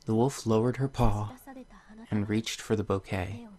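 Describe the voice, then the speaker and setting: A man's voice: lowish voice, steady tone, unhurried, dark tone. A man hosting a video game playthrough, commenting on the game and reading its story text aloud. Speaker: let's play host.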